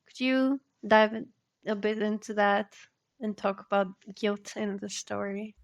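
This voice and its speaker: A woman's voice: mid-range voice, fairly even intonation, unhurried, creaky. A woman co-hosting a podcast, asking questions and chatting in an earnest, talkative way. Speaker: podcast co-host. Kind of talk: casual podcast chat.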